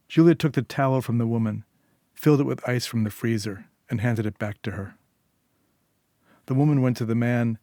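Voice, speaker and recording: A man's voice: mid-range voice, darkish tone, no echo, clean studio sound. A man reading a scripted short story as its narrator.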